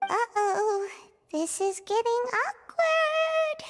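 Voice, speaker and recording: A woman voicing a tiny, cheeky, childlike floating companion in a video game, with a squeaky, exaggerated delivery. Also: lively intonation, extremely slow, full character voice. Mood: uneasy. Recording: long reverb, music behind.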